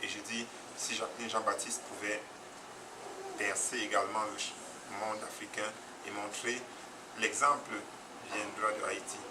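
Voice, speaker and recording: A man's voice: lowish voice, level tone, moderate pace. A man from Cameroon, giving a spontaneous, earnest public comment as an audience member, hesitant, with many fillers and restarts. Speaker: audience member praising a documentary. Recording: distant mic with crowd noise.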